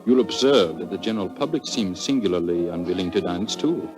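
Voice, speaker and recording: A male actor playing a courteous, melancholy aristocratic police official, formal and measured, in 1940s radio drama style. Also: low-pitched, lively intonation. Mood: polite, grave. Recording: old radio broadcast, music underneath.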